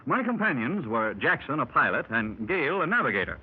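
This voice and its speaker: A man's voice: medium pitch, very animated, a little fast, lightly acted. An actor playing a learned middle-aged explorer, telling his tale to fellow club members in a formal, old-fashioned way, in 1930s radio drama style. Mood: measured. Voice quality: formal, clubby storyteller. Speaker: explorer recounting his expedition. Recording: muffled hissy 1930s radio transcription.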